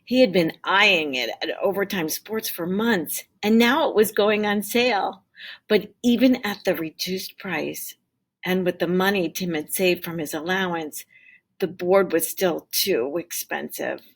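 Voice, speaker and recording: A woman with a low, rather husky voice reads a children's storybook aloud. She is a teacher, acting out the dialogue expressively and pausing to explain things to pupils.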